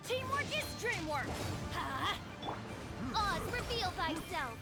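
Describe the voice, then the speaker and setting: A woman's voice: very high voice, big pitch swings, slow pace, heavily acted. A woman voicing a playful young girl adventurer with dramatic, exclamatory video game battle calls. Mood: theatrical. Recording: loud game music and effects behind.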